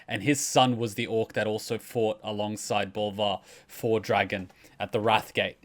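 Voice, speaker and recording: Low-voiced man giving casual commentary. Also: flat delivery, moderate pace. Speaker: reaction video host.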